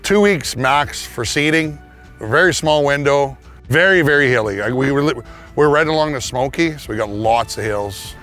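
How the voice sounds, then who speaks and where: A man's voice: medium pitch, normal speed, fairly bright tone, faint music behind. A man, a farmer talking casually in a testimonial interview, recorded outdoors on a farm yard. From Canada. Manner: plain-spoken, casual, with restarts.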